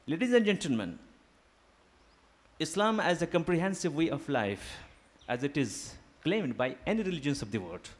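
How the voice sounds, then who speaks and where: A man whose first language is Urdu, giving a formal seminar lecture in a deliberate academic manner, pausing with fillers. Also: on the high side, very animated. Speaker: guest lecturer. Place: seminar hall.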